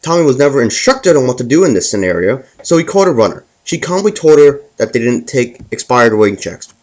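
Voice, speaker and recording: Male storyteller, medium pitch, very lively intonation, scripted comic narration, stumbling over words. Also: brisk. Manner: stumbles and repeats words while reading. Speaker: host and storyteller.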